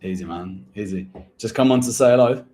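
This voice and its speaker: Blunt coaching man, low-key dark voice. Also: a little fast. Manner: blunt, coaching, slangy with "bro". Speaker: host, recruitment agency owner. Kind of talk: live-stream Q&A.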